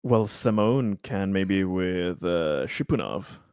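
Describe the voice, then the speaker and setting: A man's voice: sits low, animated, slow, darkish tone, smooth, no room sound, clean studio sound. A man chatting casually as a player in a tabletop roleplaying game.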